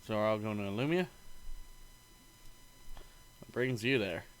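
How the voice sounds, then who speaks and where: Man voicing a lively, joking young adventurer. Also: fairly low pitch, measured pace, slight background noise, low-quality recording. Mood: friendly, awkward. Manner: joking, chatty, casual. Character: wry, friendly stranded traveler, visual novel protagonist.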